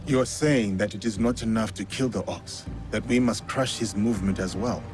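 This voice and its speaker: An actor playing a stoic, honourable warrior of feudal Japan in a video game, speaking in measured, formal phrases. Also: male voice, lowish voice, over music, natural voice. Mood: solemn, resolute. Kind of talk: video game cutscene acting.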